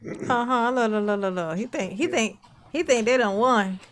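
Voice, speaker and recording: A woman with a deep voice giving casual, mocking reaction commentary on courtroom footage. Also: moderate pace, slight background noise. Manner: sassy, mocking, repeats "look, look".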